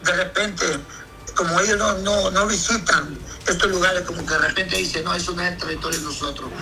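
A man's voice: high-pitched, steady tone, a little fast, creaky, noisy background. A man, a pastor answering interview questions emphatically and often asking if he's understood. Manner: emphatic, repeats "me entiende".

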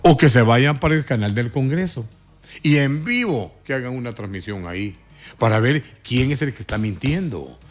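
A man hosting a morning radio talk show, commenting with indignant emphasis and sudden rises in pitch. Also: expressive, normal speed.

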